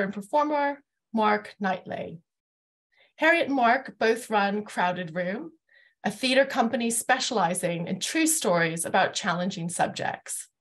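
Female host, middle-aged, mid-range pitch, panel introductions and questions, recorded on a video call. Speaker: host, university academic. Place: living room at home, video call.

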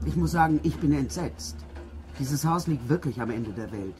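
Haughty, blunt nurse. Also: female voice, sits low, expressive, normal speed. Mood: indignant. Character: haughty, easily appalled private nurse. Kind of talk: German mystery radio play acting.

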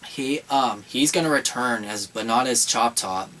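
A man's voice: medium pitch, unhurried, a bit bright, far from the mic, slight background noise, poor audio. A young man co-hosting a casual horror movie chat in a small room, rambling with many fillers and restarts. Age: young adult. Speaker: horror channel co-host.

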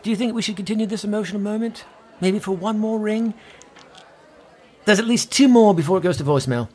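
An actor playing a brash, boozy middle-aged British rock star in an audio comedy, theatrical, sweary and boastful. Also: male voice, sits high, brisk, darkish tone, breathy edge. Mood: bitter, sardonic. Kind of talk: comedy audio drama acting.

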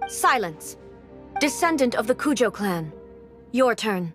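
A woman playing a stern, imperious ruler in a game, speaking formal lines in a measured, commanding way. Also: on the high side, very animated, fairly bright tone. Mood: cold, commanding. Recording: music behind the dialogue.

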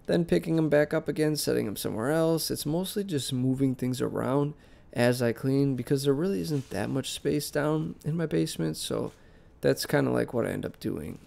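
Young male vlog host with a head cold, nasal and gravelly, relaxed rambling delivery. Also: medium pitch, normal speed. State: congested, sick and nasal. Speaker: plant channel host. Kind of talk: casual voice-over vlog narration. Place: basement plant room.

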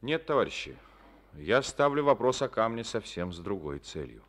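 A firm, steady party secretary. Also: male voice, fairly low pitch, normal speed. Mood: persuasive. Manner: firm, persuasive, paternal. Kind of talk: 1950s Soviet radio drama acting.

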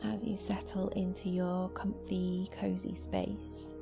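Softly creaky, even-toned woman guiding meditation. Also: lowish voice. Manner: slow, soothing, gentle instruction with long pauses. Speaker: yoga nidra teacher. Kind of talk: guided meditation. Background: new-age music.

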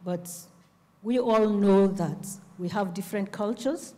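A middle-aged Nigerian-accented woman speaks on a panel in a soft, mellow voice of medium pitch. Her delivery is measured, with deliberate pauses between phrases. The handheld microphone carries the long reverb of a hall. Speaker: panelist, publisher and literacy advocate. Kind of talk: panel discussion talk. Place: on stage in a festival hall.

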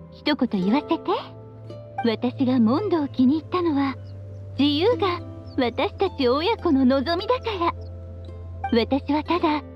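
A woman's voice: high voice, highs cut off. A woman playing a playful, free-spirited witch mother in a Japanese anime game, teasing and affectionate.

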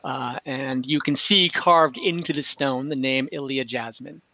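A man narrating an online tabletop game as game master, casual, halting and lightly amused, improvising as he goes. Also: mid-range voice, fairly even intonation, pushed and bright, no echo. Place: at home indoors on a group video call. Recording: slightly dull video-call audio.

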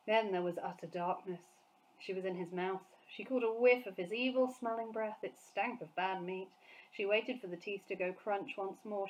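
A woman teacher reads a children's novel aloud in a clear, expressive way. Her voice sits at an average pitch for a woman, and she paces the narration for young listeners. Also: rough recording. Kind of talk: storybook read-aloud for children.

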